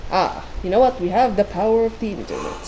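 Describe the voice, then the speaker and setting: A woman's voice: mid-range voice, sing-song, a little fast, noisy background. A woman with a Filipino accent giving playful, rambling, casual game commentary. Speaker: game streamer. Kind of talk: casual gameplay commentary mixing Tagalog and English.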